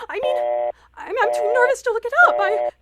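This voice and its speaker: A woman's voice: very high voice, a little fast, heavy background noise. A woman playing a frantic, self-pitying invalid wife in a 1940s-style radio suspense drama, nervous, demanding and hysterical. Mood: agitated demanding.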